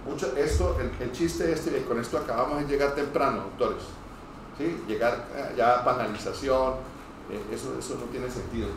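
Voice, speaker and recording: A man from Cúcuta with a northeastern Colombian accent, giving an informal medical lecture as a pulmonologist, hurried and digressive with stammered false starts. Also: mid-range voice. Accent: northeastern Colombian Spanish. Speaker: pulmonologist teaching medical trainees. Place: echoey room, speaking to a group. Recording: very echoey room, distant mic, noisy.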